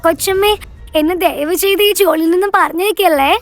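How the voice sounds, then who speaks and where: Woman as a very high-voiced plaintive orphan girl. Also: a little fast, dry recording, faint music behind. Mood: pleading, sorrowful. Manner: plaintive, earnest. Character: poor orphaned village girl, pleading yet brave. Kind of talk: dramatized moral story for children.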